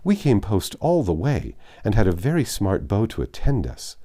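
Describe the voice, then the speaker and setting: A man's voice: lowish voice, big pitch swings, normal speed, mellow, pitched above the performer's own voice. A man voicing a vain, silly chatterbox of a woman in an affected, simpering manner for a novel reading. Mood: exultant.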